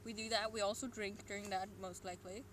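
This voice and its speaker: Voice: medium pitch, fairly even intonation, fast. Rambling Swedish-accented streamer, noisy ambience. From Sweden. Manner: rambling, meandering, with muttered and whispered asides. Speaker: livestreamer. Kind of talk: casual solo stream chat.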